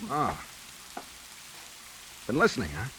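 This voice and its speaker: A man's voice: medium pitch, wildly swooping pitch, takes it slowly, sharp tone, full character voice, poor audio. A male actor playing a hard-boiled, world-weary bank robber with a decent streak, terse and slangy in 1940s radio noir style. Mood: wry, calm. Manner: terse, slangy tough-guy talk. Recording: hissy 1940s radio recording, rain behind.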